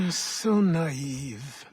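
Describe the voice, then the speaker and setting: Older male game mentor: stern, weary, scornful, unhurried delivery, higher-set voice over score. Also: animated, a little mellow, dry recording, heavily acted. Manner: gruff, scornful, measured instruction. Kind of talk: dramatic video game acting.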